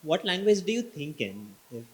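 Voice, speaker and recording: A man's voice: high voice, sing-song, moderate pace, poor audio. A man asking a polite, tentative question from the audience.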